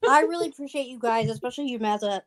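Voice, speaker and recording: A woman's voice: fairly high pitch, rapid-fire, noisy background. A woman guest in a casual livestream chat, talking in an earnest, rambling way full of fillers.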